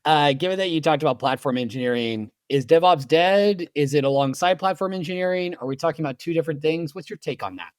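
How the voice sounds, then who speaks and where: A man's voice: on the high side, expressive, fast talker, few pauses, no room sound, studio quality. A male podcast host asking questions in an easygoing, conversational way. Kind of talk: interview questions.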